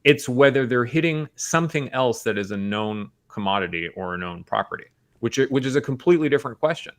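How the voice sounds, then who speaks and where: A man's voice: mid-range voice, animated, fairly quick. An American man on a film talk show, reasoning analytically through his points in casual conversation.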